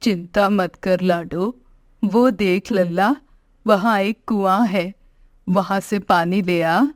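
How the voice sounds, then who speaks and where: A woman voicing a gentle, consoling old village mother in a cartoon moral story, soothing and affectionate. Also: mid-range voice, fairly even intonation, slow, no echo, clean studio sound, pitched below the performer's own voice, lightly acted.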